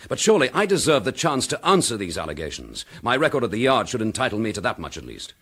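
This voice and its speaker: An actor playing an accused chief inspector, dogged and defiant, speaking in a firm, measured, indignant manner in a radio drama. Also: male voice, medium pitch, wildly swooping pitch, a bit bright, slightly rough, exaggerated voice. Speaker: police detective.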